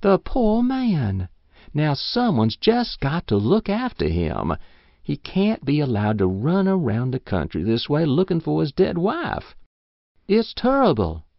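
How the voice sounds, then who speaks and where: A woman's voice: rumbling low, wildly swooping pitch, measured pace, creaky, no room sound, pitched above the performer's own voice, heavily acted. A man voicing a stout, kindly old farm wife who fusses over a guest in rural speech. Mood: fussing. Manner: fussing, sympathetic, rural speech. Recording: dull lo-fi audiobook recording.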